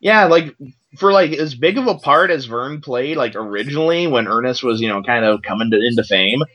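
A man co-hosting a casual movie commentary podcast, joking and quick to laugh. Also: mid-range voice, lively intonation, a bit bright, dull audio. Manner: joking, quick to laugh, does silly voices. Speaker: podcast co-host.

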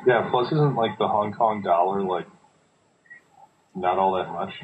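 A man's voice: on the low side, measured pace. A man co-hosting a casual movie commentary with dry, laid-back quips. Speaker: co-host. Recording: tinny phone-like call with faint hiss.

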